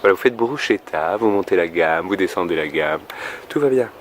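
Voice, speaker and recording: A man from Canada putting on a European French accent with some Québécois turns, speaking as a singer and vocal coach in a casual, humorous vlog, mock-earnest and halting as he drifts into asides. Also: sits low, expressive, slight background noise. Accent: French, deliberately European French with some Québécois turns.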